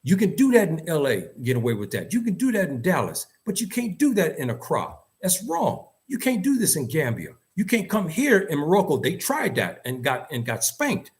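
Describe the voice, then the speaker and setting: A man's voice: fairly high pitch, huge pitch swings, normal speed, few pauses. A man with an American accent giving opinionated, unscripted talk in an emphatic, insistent manner, repeating phrases for stress. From United States. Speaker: host and commentator.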